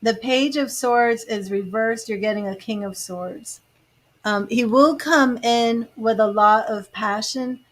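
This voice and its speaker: A woman's voice: on the high side, takes it slowly, a bit bright. A woman giving live tarot readings, chatting to viewers by name and musing over the cards. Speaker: tarot reader.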